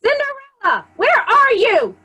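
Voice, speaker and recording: A woman's voice: very high voice, huge pitch swings, unhurried, sharp tone, heavily acted. A woman playing a haughty, imperious stepmother who scolds and coos in a children's theatre staged reading. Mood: sharp, scolding. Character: haughty, bossy stepmother, doting on her favourite daughter.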